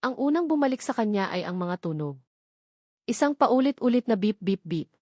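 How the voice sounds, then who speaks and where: A woman's voice: mid-range voice, big pitch swings, moderate pace, a little mellow, no room sound, studio quality. A woman narrating a romantic drama story in a scripted audiobook style.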